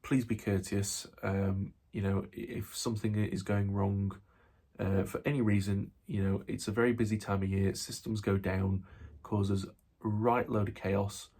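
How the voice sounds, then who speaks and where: A man with a Yorkshire accent and a low, husky voice that sounds a little stuffed up from a cold. He hosts a chatty, meandering update with plenty of fillers and restarts. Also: level tone, a little slow, warm tone. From England. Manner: rambling, with many fillers and restarts. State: slightly nasal from a cold. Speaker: model railway channel host. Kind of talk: casual chatty video update.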